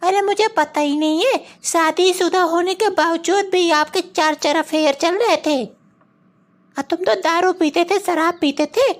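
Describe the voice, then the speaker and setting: A woman voicing a brusque, nagging working mother and scornful wife in a comic cartoon, scolding and dismissive. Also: high-pitched. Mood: mocking, scornful.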